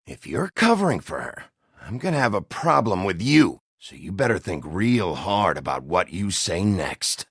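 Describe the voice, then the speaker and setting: An actor playing a gruff, world-weary sheriff in a noir video game, blunt, terse and sardonically threatening. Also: male voice, deep voice, sing-song, normal speed, a bit bright, no room sound, clean studio sound, full character voice. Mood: hard, threatening.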